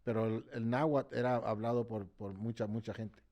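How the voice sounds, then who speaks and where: A man's voice: lowish voice, fairly even intonation, normal speed, darkish tone. A man speaking passionately in an interview, often repeating words as he searches for the next phrase and checking in with the listener. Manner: switches between English and Spanish. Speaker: interviewee, march organizer. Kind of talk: impassioned interview talk.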